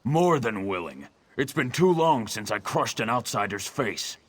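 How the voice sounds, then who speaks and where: An actor playing a battle-hungry male orc hunter in a video game, gruff, menacing and taunting. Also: mid-range voice, big pitch swings, a little slow, no room sound. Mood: menacing, eager. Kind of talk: video game character acting.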